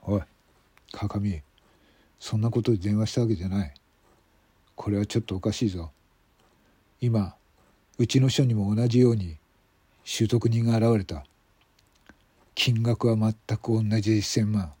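Grave police detective for radio drama, acted by a man: dark, husky, serious, restrained urgency. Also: steady tone, a little slow, long pauses, dry recording. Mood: grave, urgent. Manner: serious, low-key, urgent. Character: grave male police detective colleague on the telephone.

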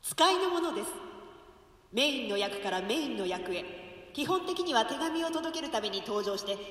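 A woman playing a formal, brisk stage messenger in a comic radio play, reciting announcements. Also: high-pitched, big pitch swings, fairly bright tone, roomy sound, in a large hall, recorded from a distance. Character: brisk minor stage messenger delivering letters, always leaving. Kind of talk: comic radio-theatre character acting.

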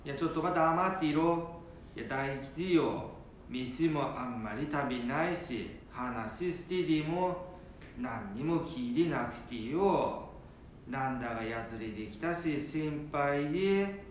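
A man's voice: animated, slow. An actor playing a blunt old grandfather with a Nanbu dialect from northern Japan in an amateur radio drama. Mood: blunt, worried. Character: blunt, sturdy old Japanese grandfather worried for his son. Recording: very echoey room, faint rumbling noise.

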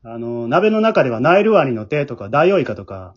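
Male podcast host, Kansai accent, lively swooping intonation, quick delivery, slightly muffled recording. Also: mid-range voice, a crowd in the background. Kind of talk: casual chat hosting.

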